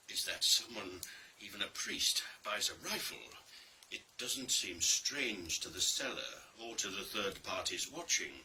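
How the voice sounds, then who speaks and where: A man delivers a scripted dark tale with theatrical deliberation. His voice is very bright and forceful, and he takes his time over each phrase with marked pauses. He sounds set well back from the microphone. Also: on the low side. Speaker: reader of a dramatic monologue. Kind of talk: scripted dramatic storytelling, digital play reading. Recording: distant microphone, faint background noise.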